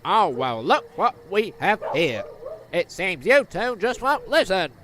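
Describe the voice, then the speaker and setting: A man's voice: high-pitched, big pitch swings, a little slow, background noise. A man playing a menacing, mocking villain in a fantasy cartoon. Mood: gloating. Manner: taunting, mocking. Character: menacing, taunting villain confronting travellers.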